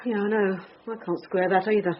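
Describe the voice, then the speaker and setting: An actress playing a brisk, dogged British police detective in charge, reasoning aloud in a naturalistic radio drama. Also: female voice, mid-range voice, fairly even intonation, natural voice. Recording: dull radio recording with faint noise.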